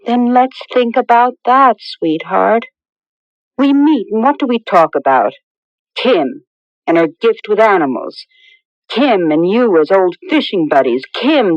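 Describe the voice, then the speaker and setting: An actress playing a sharp-tongued, jealous young fiancée in a radio drama. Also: female voice, medium pitch, lively intonation, measured pace, no room sound, lightly acted. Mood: exasperated. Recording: dull, dry vintage radio recording.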